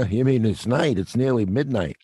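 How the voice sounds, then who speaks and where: An actor playing a middle-aged male theater night watchman who is gruff but kind, with a wry, world-weary, fatherly way of talking. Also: mid-range voice, expressive, normal speed, mellow, dry recording. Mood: warm. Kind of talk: audio play acting.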